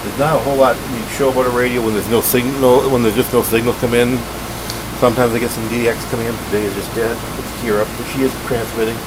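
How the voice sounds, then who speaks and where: A man's voice: mid-range voice, steady tone, quick pace, very noisy. A man giving a casual, unscripted show-and-tell as a hobbyist, rambling along in a matter-of-fact way. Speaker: radio hobbyist presenting his equipment.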